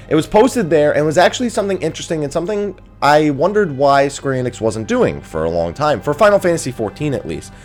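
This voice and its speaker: A man hosting a casual gaming news update, sounding nasal and stuffy from just waking up, chatty with self-amused asides. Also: medium pitch.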